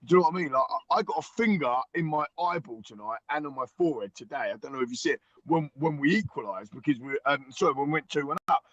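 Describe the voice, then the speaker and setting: Hoarse, excitable football fan. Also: male voice, fairly high pitch, dry recording. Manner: excitable, talks in quick restarts. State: hoarse from singing. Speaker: season-ticket-holding fan guest. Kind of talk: casual podcast chat. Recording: dull lo-fi dry sound.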